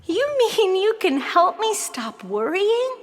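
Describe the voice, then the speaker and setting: A woman playing a bright, earnest, precocious nine-year-old girl in a children's audio play, articulate and excitable. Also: very high voice, takes it slowly, full character voice. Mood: curious, earnest.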